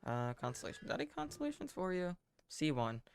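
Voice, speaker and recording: Man, game streamer, creaky voice, deadpan, self-deprecating, casual commentary, muttered asides to himself. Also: high-pitched, brisk, a little hiss or hum. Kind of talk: casual live gameplay commentary.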